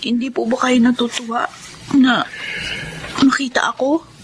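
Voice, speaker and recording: A woman's voice: a little high, flat delivery, measured pace, a little hiss or hum, lightly acted. A young woman with a Filipino accent acting a poor, hurt daughter who longs for her father's love in a radio drama. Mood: timid, pleading. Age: young adult. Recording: dramatic music behind voice.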